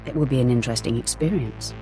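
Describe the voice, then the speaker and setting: A woman's voice: extremely low pitch, fast talker, mellow, reverberant, faint music behind, low-quality recording. An actress playing an arrogant, ruthless female Sith warrior in a video game, speaking in a haughty, curt way. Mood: cold, haughty. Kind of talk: dramatic scripted game dialogue.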